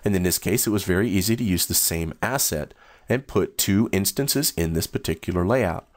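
Low-voiced man narrating a software demo. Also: moderate pace, no echo. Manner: steady, explanatory, first-person demo narration. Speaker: software demonstrator. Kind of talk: screen-recorded software walkthrough.

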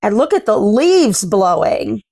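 A woman in her thirties reading a picture book aloud to children, commenting and putting questions to young listeners in simple, deliberate phrases. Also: mid-range voice, sing-song, warm tone, husky. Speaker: children's read-aloud host. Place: small furnished room at home.

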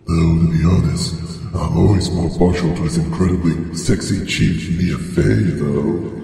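Man acting a solemn deity, low gravelly voice, grand slow delivery, game fandub, cavernous reverb. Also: steady tone, mellow. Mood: solemn, tongue-in-cheek. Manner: slow, grand, booming pronouncements. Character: solemn godlike Master sending a hero forth, slipping into silliness. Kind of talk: amateur game fandub bloopers. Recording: echoey hall reverb, noisy.

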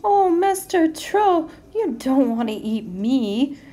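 A woman's voice: high-pitched, very animated, takes it slowly, mellow, voice pushed up, full character voice. A woman voicing a timid little young male billy goat in a storybook, with a small, pleading voice. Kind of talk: storybook character voice.

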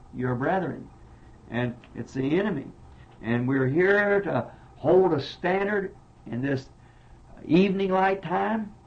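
A man preaching as pastor of his church in a Southern American accent, speaking earnestly and plainly and repeating words for emphasis. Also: on the high side. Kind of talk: sermon answering congregation questions. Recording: dull lo-fi 1960s tape recording.

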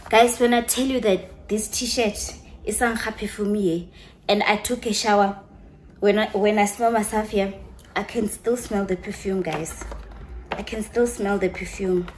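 Woman vlogger, young, unhurried and gushing, faint room hiss behind. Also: mid-range voice, steady tone, a little mellow, rough recording. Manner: chatty, gushing, slips into local phrases. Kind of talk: casual chat to camera, showing perfumes.

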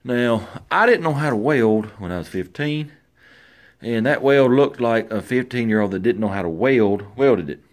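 Middle-aged man, relaxed repair-channel host, lively pitch, dry humor, camera mic in a large workshop. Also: moderate pace. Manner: easygoing, wry, talks to himself while working. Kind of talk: casual repair commentary. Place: large garage workshop, indoors.

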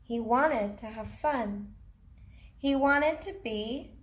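Woman in her thirties, children's storyteller, slow-paced, roomy sound, expressive delivery. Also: on the high side. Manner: expressive, simple questions addressed to young listeners. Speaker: storyteller reading a picture book to children. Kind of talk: children's read-aloud storytelling. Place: small cardboard fort indoors.

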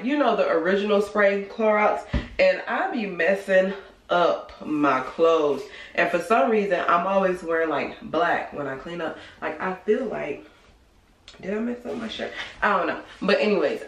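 An American woman in her thirties chatting informally to viewers, like a vlogger giving a casual product review. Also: lowish voice. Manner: informal, conversational, speaking to viewers. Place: small room at home, indoors. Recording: roomy, echoey indoor sound.

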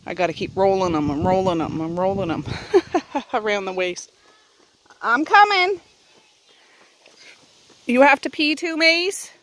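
A woman's voice: mid-range voice, lively intonation, measured pace, pauses often, fairly bright tone. A woman in her thirties from Nova Scotia, chatting to her camera and calling out to her kids on an outdoor walk. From Nova Scotia, Canada. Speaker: vlogger and mother. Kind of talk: casual family vlog while walking. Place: outdoors on a windy beach and forest trail. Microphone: handheld camera at arm's length.

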